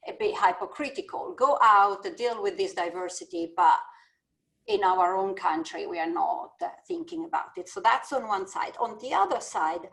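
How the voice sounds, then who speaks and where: A woman from Italy with an Italian accent, a business school dean speaking thoughtfully on a video-call panel, pausing often to find her words. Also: mid-range voice, sing-song, creaky, a bit bright. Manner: thoughtful, searching for words with many fillers. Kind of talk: panel discussion.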